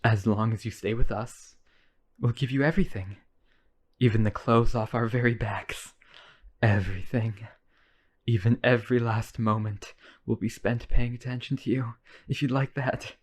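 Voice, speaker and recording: A man's voice: mid-range voice, fairly even intonation, moderate pace, lightly acted. A man playing an obsessively devoted, centuries-old vampire brother in a boyfriend roleplay, earnest and pleading.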